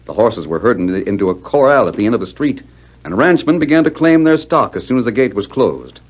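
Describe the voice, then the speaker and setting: A man's voice: lowish voice, expressive, fairly quick. A man narrating a 1940s radio western in a formal, dramatic storytelling style. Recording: noisy, muffled 1940s radio recording.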